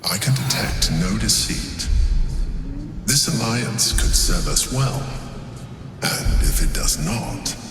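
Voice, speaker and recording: An actor playing a cold, calculating adviser in a video game, speaking in a measured, deliberate way. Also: male voice, mid-range voice. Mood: cool, calculating. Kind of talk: video game character acting. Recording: echoey, reverberant, with clear music behind.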